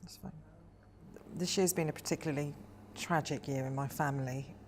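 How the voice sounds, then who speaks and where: A woman in her forties from Wales, speaking thoughtfully in a personal interview, often pausing with hesitant ums. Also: sits low, steady tone, a little mellow, slight background noise. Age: 40s.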